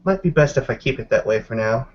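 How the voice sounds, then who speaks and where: A man's voice: mid-range voice, expressive, fast talker, natural voice. A man playing a guarded, haughty businesswoman in a tabletop game, dry and evasive. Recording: dull, noisy home mic.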